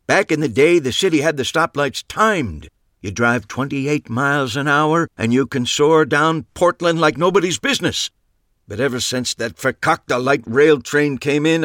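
Man voicing old male magician, gravelly, boastful, loud, high, dry sound. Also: no echo. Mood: griping. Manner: complaining, boastful. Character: older male magician, late and grumbling.

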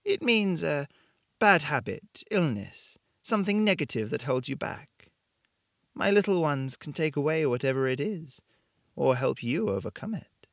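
A man voicing a calm, cryptic woman shopkeeper with a vague European accent. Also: deep voice, creaky, no room sound, studio quality, voice pushed up, exaggerated voice. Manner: measured, formal, slow.

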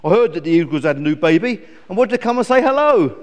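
Man putting on a high, eager storybook raven. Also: very animated, fast talker, big-room sound. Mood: eager, helpful. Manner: exclaiming, effusive, welcoming. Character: friendly, eager, chatty male raven. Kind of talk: children's storybook character voice.

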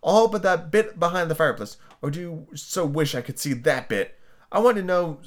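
A man's voice: on the high side, moderate pace, voice pushed up, natural voice. A man voicing a prim, chatty little girl who scolds and lectures her kitten, in a raised sing-song voice. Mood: musing. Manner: fussy, lecturing, lively and whimsical. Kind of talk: amateur reading of a children's book.

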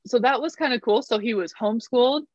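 A woman's voice: fairly high pitch, quick pace, no echo, dull audio. A woman in her thirties from Canada, chatting as an interview guest with a self-deprecating, laughing manner. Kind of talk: casual interview chat.

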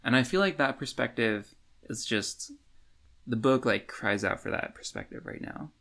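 A man co-hosting a podcast, talking casually and haltingly in a book discussion. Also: medium pitch, bright, forceful tone. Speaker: podcast co-host.

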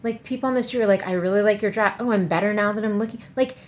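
A woman co-hosting a podcast in casual chat, animated, swearing and mocking people in quoted voices. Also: mid-range voice, rapid-fire, a little hiss or hum. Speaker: podcast co-host.

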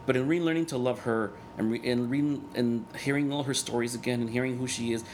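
Earnest, halting man in his thirties. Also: mid-range voice, fairly even intonation, slight background noise, rough recording. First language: Spanish. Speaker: guest, cartoonist and organizer. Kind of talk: candid personal interview.

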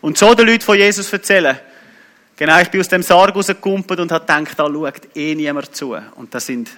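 A man with a Swiss German accent gives an informal church talk as a guest preacher, colloquial and animated, mixing in English words. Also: fairly high pitch, fairly quick.

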